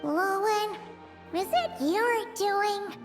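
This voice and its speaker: A woman's voice: drawn-out words, exaggerated voice. A woman voicing a tiny, childlike female fairy guide in a video game, cheeky and suspicious. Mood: doubtful. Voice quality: squeaky, childlike. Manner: blunt, questioning, third-person self-reference. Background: music.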